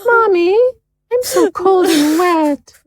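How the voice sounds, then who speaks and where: Very high, plaintive ghost girl. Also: animated, slow pace, no room sound, studio quality, full character voice. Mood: frightened, pleading. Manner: plaintive, childlike. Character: cold, lost, frightened little drowned ghost girl. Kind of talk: audio horror drama acting.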